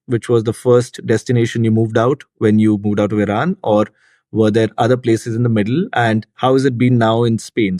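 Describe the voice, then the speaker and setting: A man's voice: fairly low pitch, darkish tone, no room sound, studio quality. A man hosting a podcast, reading a formal, enthusiastic prepared introduction and then asking long questions. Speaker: podcast host. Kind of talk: scripted podcast introduction and interview.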